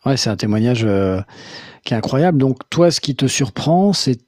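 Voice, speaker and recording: Dry-sounding talk show host. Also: male voice, medium pitch, animated, a little mellow, dry recording. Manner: measured, many "euh" fillers, asks short questions. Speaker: live stream host. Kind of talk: live call-in talk show.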